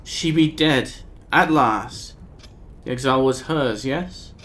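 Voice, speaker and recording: A man's voice: medium pitch, slow, a bit bright. A man voicing a cryptic, fierce northern woman who speaks in old-fashioned thee and thou, reading a text game aloud on a live stream. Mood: sly.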